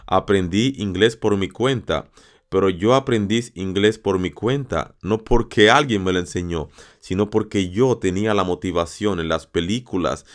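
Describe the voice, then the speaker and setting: A man with a very low voice hosts a lesson podcast for learners of his language. He addresses his listeners directly and encourages them. He repeats words and phrases for emphasis. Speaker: Spanish teacher and podcast host.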